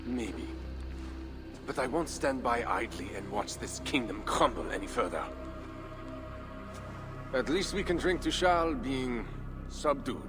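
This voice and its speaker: An actor playing a weary, stubborn Frankish count in a game cutscene, speaking low and grimly. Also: male voice, animated, unhurried, long pauses, music in the background, close to an everyday voice.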